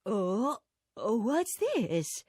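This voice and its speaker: A woman voicing a kindly, homely mother in a children's fairy tale cartoon, with a breathy, husky voice. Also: medium pitch, big pitch swings, very slow, darkish tone, a little hiss or hum. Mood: curious.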